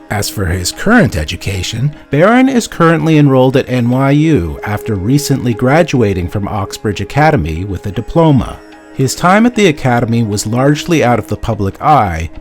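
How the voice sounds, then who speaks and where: A man's voice: medium pitch, a little mellow. A man reading scripted documentary narration in a formal, polished way. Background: faint background music.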